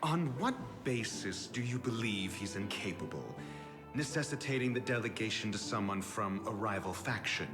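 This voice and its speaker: An actor playing an aloof, erudite doctor in a video game cutscene, haughty and dryly sarcastic, choosing his words with measured care. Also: fairly low pitch, lively intonation, natural voice. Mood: dry, skeptical. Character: aloof, erudite male scholar-doctor, dry and condescending. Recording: loud background music. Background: music.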